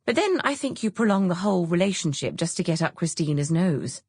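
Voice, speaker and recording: A woman reader acts out a witty, bohemian woman friend in an audiobook novel. She is petulant and caustic, teasing in a dry theatrical drawl. The voice is husky and fairly low, recorded close and dry. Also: sing-song, brisk, a little mellow, no echo, exaggerated voice. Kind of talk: audiobook character dialogue.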